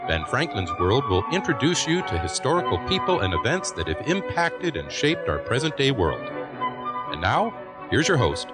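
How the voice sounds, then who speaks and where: A man's voice: mid-range voice, sing-song, normal speed. A man reading a podcast's opening announcement in a polished announcer style. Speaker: show announcer. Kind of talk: scripted show intro. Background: loud music.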